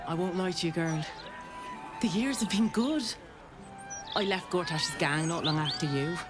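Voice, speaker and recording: A woman playing a warm-hearted, teasing female arms trader in a video game, hearty and familiar. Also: fairly low pitch, normal speed, lightly acted. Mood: delighted surprise. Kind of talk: dramatic video game acting. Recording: music behind the voice.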